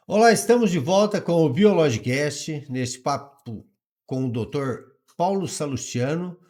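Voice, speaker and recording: A man's voice: fairly high pitch, animated, measured pace, darkish tone. A male podcast host who introduces segments with enthusiastic praise and hesitates as he frames his questions. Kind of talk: podcast interview hosting.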